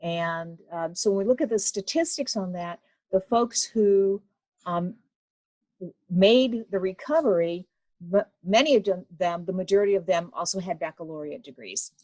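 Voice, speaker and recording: A woman's voice: fairly low pitch, moderate pace, dark tone, no echo, rough recording. A woman, an association president, interviewing a guest in an attentive, affirming way. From United States. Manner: attentive, affirming, frequent "mm-hmm". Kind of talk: interview conversation.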